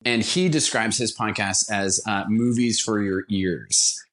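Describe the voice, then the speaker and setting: A man with a fairly low-pitched voice talks as a podcast guest. He is enthusiastic and conversational, explaining things in a casual interview style. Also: measured pace, a bit bright. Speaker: interview guest, radio theater producer.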